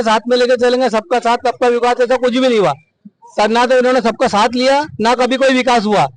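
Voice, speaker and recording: A man's voice: very high-pitched, rough recording. A man giving heated, challenging opinions in an interview, repeating phrases for emphasis. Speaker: member of the public interviewed.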